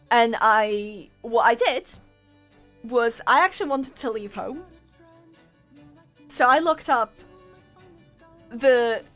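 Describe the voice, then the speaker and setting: Young Polish-native woman streamer, slow laughing anecdote, faint music behind. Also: a little high, a bit bright. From Poland. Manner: giggly, self-mocking, chatty. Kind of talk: casual storytelling to chat.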